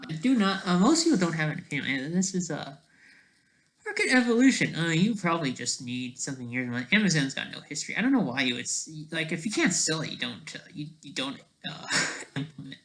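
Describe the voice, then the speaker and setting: Mid-pitched voice, amateur creator, off-the-cuff rambling, unfinished sentences, frequent questioning tags. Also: big pitch swings, brisk, fairly bright tone, rough recording. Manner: tags statements with "right?".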